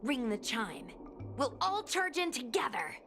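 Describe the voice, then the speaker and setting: A woman voicing a calm young adventurer in a game cutscene, encouraging and steady as she leads the team, with music behind. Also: high-pitched, a little slow, full character voice.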